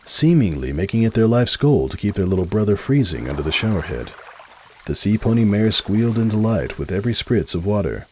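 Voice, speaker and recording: A man's voice: on the low side, a little mellow, slight background noise, low-quality recording. A man giving a dramatic storybook narration.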